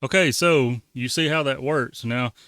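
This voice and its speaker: A man's voice: medium pitch, a little slow, fairly bright tone, clean tone, no echo. A man giving a casual, folksy how-to walkthrough as the host of a hobby tutorial. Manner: folksy, colloquial. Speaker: ham radio tutorial host.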